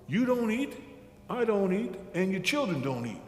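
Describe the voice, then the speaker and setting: Man as nagging female raccoon, bossy, high animated voice, folksy, storybook character, echoey hall. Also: normal speed. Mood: nagging threat. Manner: nagging, threatening, folksy rural phrasing. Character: scolding, bossy raccoon wife with a broomstick. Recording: echoey hall with long reverb.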